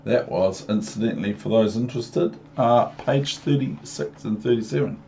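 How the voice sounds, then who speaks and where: A man's voice: mid-range voice, moderate pace, creaky, warm tone, a little hiss or hum. A man with a New Zealand accent reading a storybook to young children, rambling into chatty asides and questions for the listener. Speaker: storybook reader.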